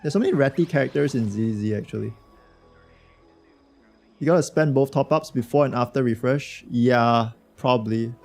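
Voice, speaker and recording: A man streaming a game with a hoarse voice from a cold, chatting loosely and slangily with his viewers. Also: medium pitch, moderate pace, a little mellow, soft background music. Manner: slangy, rambling, talks to chat. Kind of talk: casual livestream chat reacting to a game.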